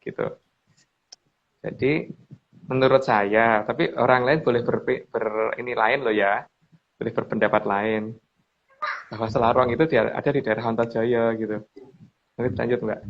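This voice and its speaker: A man's voice: medium pitch, steady tone, normal speed, pauses often. A man casually explaining old legends over slides, rambling with restarts and often turning to a woman reading the slides. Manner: rambling, with restarts and addressing the reader as Mbak. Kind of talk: casual spoken commentary on slides. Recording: dull lo-fi recording.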